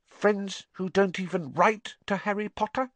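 A man's voice: a little slow, dry recording. A man voicing a small anxious male house-elf who pleads and speaks of himself in the third person. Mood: sly. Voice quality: very high, squeaky.

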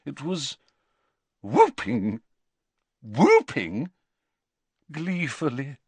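Male reader putting on a lofty dramatic witch-seer, high wavering voice, dry studio sound. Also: big pitch swings, measured pace, no room sound. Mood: haughty mystic. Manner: theatrical, mystical, swooping high and wavering. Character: eccentric haughty middle-aged woman seer, dramatic.

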